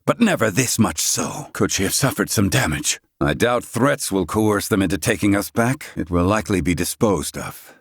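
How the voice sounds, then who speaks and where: Game role: curt, grumbling man of learning, low register, dramatic swings, archaic formal speech, dry close recording. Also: expressive, normal speed, gravelly, hardly any pauses, breathy edge, no room sound, full character voice. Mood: grave, wry. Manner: pompous, clipped, archaic formal diction.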